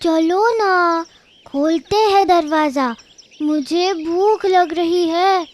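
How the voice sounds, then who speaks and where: A man voicing a tiny young goat kid in a children's cartoon story, squeaky and childlike in a pleading way. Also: extremely slow, heavily acted. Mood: pleading, hungry.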